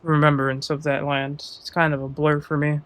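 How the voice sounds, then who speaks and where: A man's voice: on the high side, little pitch movement, moderate pace, fairly bright tone, slight background noise. A man giving casual gameplay commentary as a streamer, blunt, sweary and scornful in his reactions. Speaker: gaming streamer.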